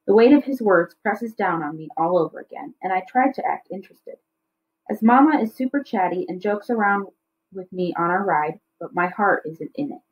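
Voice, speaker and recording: A woman's voice: mid-range voice, lively intonation, normal speed, lo-fi sound. A woman reading a children's novel aloud as a teacher would, in storybook narration.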